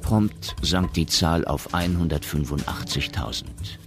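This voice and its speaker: A man narrating a science fiction radio drama in a measured, matter-of-fact, gravelly voice. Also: extremely low pitch. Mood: sober. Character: sober male narrator of a science fiction story. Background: music.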